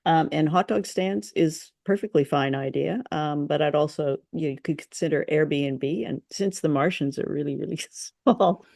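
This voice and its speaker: Creaky-voiced woman in casual podcast chat. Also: low voice, measured pace, few pauses, a little mellow, dry recording, clean studio sound. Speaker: podcast host. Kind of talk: casual chat reviewing an old radio drama.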